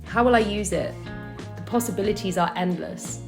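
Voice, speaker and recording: A young woman narrating a scripted, reflective voice-over in a calm, measured way. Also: medium pitch, gravelly. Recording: roomy echo, faint music.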